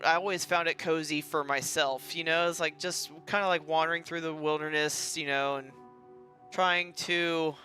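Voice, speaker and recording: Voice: medium pitch, pushed and bright. A gaming content creator giving casual, rambling, jokey let's play commentary. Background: faint game music.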